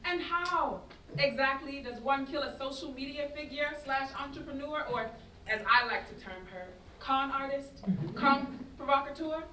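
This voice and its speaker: Woman acting a brash, high, bright young woman. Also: moderate pace. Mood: sardonic, indignant. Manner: emphatic, declarative, slangy. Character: brash, sardonic young woman ranting on social media. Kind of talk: theatrical monologue in staged reading. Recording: roomy, distant, faint noise.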